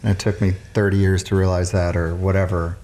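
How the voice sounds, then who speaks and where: An American man from Nevada in a casual, reflective chat, thinking aloud in a halting way. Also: low voice, little pitch movement, dark tone. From Reno area, Nevada, United States. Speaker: musician guest.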